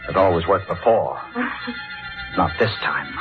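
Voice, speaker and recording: A distinguished, scheming judge in middle age. The voice is low and measured, with formal 1940s radio drama delivery. It comes through a thin, tinny old broadcast with faint music behind. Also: male voice, animated. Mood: cold, calculating. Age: middle-aged. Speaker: actor and narrator.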